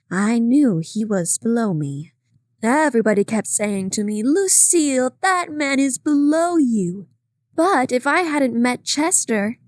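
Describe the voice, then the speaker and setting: Brief: a woman doing a shrill, pretentious wife, high-pitched and showy, dry read. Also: dry recording. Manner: vigorous, affected. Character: shrill, self-satisfied middle-aged woman.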